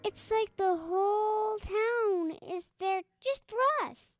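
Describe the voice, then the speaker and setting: Slow-talking, high-voiced eager little girl. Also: darkish tone, dry recording, clean studio sound, soft background music. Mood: excited. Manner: eager, wide-eyed, pleading. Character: excited, hopeful young girl, poor mother's daughter. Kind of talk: audio drama acting.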